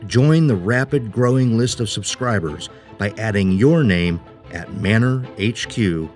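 Low-voiced male promo announcer. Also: animated, unhurried, a little mellow, faint music behind. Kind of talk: scripted promotional voice-over.

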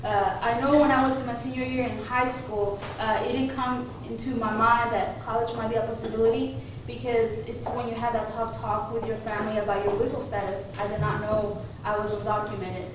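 A woman's voice: fairly high pitch, little pitch movement, brisk, few pauses. A young woman from Mexico whose first language is Spanish gives an informal conference talk with frequent fillers and restarts, standing in a conference room. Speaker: conference presenter. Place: conference room with projector screen. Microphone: room mic heard from a distance. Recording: echoey room, distant mic, background noise.

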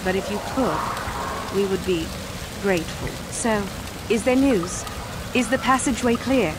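A defiant young female fugitive student, acted by a woman in a video game. Her voice is mid-pitched, earnest and guarded, set against loud, rain-like background noise. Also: animated, a little slow, close to an everyday voice. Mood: hopeful, grateful. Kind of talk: video game character acting.

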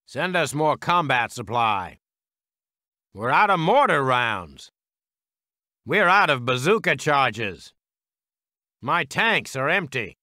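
An actor playing a gung-ho American World War Two heavy weapons soldier in a strategy game, with a clipped military delivery. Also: male voice, medium pitch, sing-song, slow, pauses often, bright, forceful tone, no echo, clean studio sound, exaggerated voice. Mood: confident, brisk.

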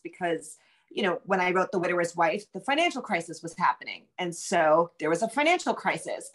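A middle-aged woman answering as a guest author, conversational and explanatory, often halting to rephrase herself. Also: mid-range voice, fairly bright tone. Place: home room with bookshelves, indoors. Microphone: video call.

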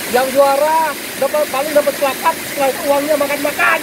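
A middle-aged man leading a group of friends, talking slowly in a speech-like way into a handheld phone camera at an outdoor hot spring waterfall. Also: very high voice, flat delivery, background noise. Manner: slow, speech-like, addressing the group. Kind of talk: casual on-camera trip chat. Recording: loud roar of a waterfall.

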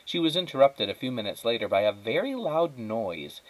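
Animated man reading a storybook. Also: mid-range voice, normal speed, rough recording. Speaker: teacher reading aloud. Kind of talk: children's storybook narration. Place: indoors at a wooden table.

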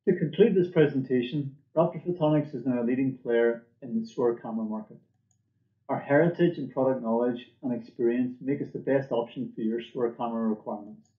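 A man giving a scripted product presentation for a company, in a measured, formal manner, recorded at a desk in a small room. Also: medium pitch, reverberant, phone-quality sound. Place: small indoor office or lab room.

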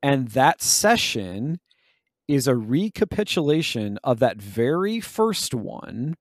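A man's voice: mid-range voice, big pitch swings, takes it slowly, no room sound, clean studio sound. A man co-hosting a podcast, talking through ideas in a casual but academic, reflective way. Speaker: podcast host. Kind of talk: casual analytical discussion.

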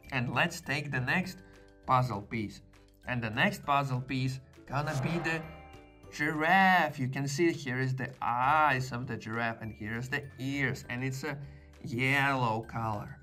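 A man, slowly and patiently talking toddlers through a puzzle, praising each step with "great" and "well done.". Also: mid-range voice, a bit bright. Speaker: children's video presenter. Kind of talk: slow, encouraging narration to toddlers while doing a puzzle.

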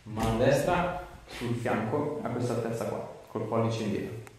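A man's voice: medium pitch, normal speed, darkish tone. A man, an osteopath giving short, encouraging casual instructions to a patient during treatment. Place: small treatment room indoors. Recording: roomy, distant mic, faint room noise.

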